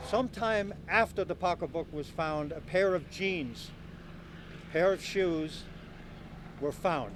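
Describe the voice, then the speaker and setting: A male police official gives a press statement in a fairly high voice. He is plain-spoken and halting, with clear outdoor traffic noise behind him. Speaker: police commissioner. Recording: noisy outdoor field interview.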